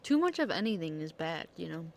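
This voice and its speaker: A woman's voice: on the low side, very animated, a little fast, gravelly, a little hiss or hum. A woman game streamer chatting casually over gameplay with rambling asides.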